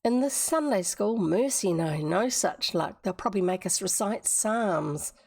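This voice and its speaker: A woman's voice: medium pitch, expressive, measured pace, gravelly, darkish tone, breathy edge, full character voice. A woman voicing an irreverent schoolgirl in an audiobook story, blunt and breezy in manner. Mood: breezy, teasing. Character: brisk, cheeky, irreverent schoolgirl.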